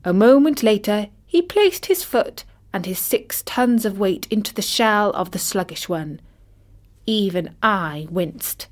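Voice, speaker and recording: A wry old storybook vulture, played by a woman, telling a fable at a slow pace. The delivery is measured and dramatic, with a knowing air. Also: medium pitch, lively intonation, close to an everyday voice. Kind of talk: scripted storytelling in character.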